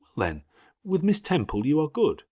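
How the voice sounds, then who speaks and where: An indignant, impatient young girl from a classic storybook, voiced by a man, with the pitch pushed up and swinging freely. The delivery is urgent, formal and emphatic, with a slightly husky edge. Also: huge pitch swings, moderate pace, mellow, full character voice. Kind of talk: audiobook character acting.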